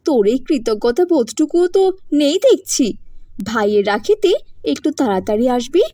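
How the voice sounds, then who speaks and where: Casting: scolding, proud eldest sister for a cartoon, acted by a woman, high voice with big swings. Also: lively intonation, normal speed, dark tone, dry recording, clean studio sound. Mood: indignant scolding. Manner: scolding, self-righteous. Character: bossy, grumbling eldest sister, a proud schoolteacher. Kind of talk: cartoon voice acting.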